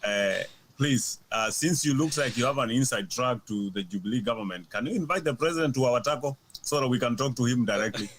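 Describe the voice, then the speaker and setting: Kenyan guy talking fast on a panel, laughs, a bit of background hiss. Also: medium pitch, a bit bright. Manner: fast, laughing, stumbles and restarts. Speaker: guest panelist. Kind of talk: political debate, mixing English and Swahili.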